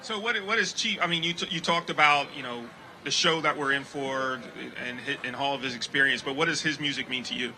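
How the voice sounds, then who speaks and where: Guy hosting a festival interview, fast and upbeat-sounding, keeps agreeing. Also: medium pitch, bright, forceful tone, slight background noise, low-quality recording. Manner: affirming, short agreeing replies. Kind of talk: casual outdoor festival interview.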